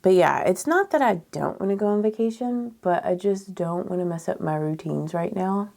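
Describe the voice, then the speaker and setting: A woman in her thirties with a froggy, raspy voice, chatting candidly and ramblingly as a beauty vlogger doing a makeup tutorial indoors. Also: on the low side, moderate pace, darkish tone. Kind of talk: casual chat during makeup tutorial. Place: small furnished room indoors.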